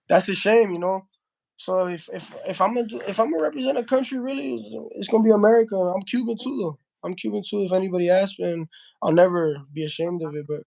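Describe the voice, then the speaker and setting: Young Miami heavyweight, Spanish first language, high voice, slangy casual interview talk full of restarts. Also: male voice, darkish tone, poor audio. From Miami, Florida. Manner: slangy, says bro a lot, frequent restarts. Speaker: heavyweight boxer.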